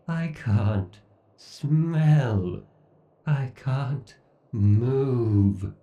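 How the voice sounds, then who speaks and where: A deep, gravelly AI god acted out by a man speaks extremely slowly in a horror ASMR roleplay. He is menacing, obsessed and condescending, with theatrical brooding. The sound is roomy and set back from the mic. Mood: anguished bitterness. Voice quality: gravelly, dark, low. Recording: dull, roomy, slightly distant sound.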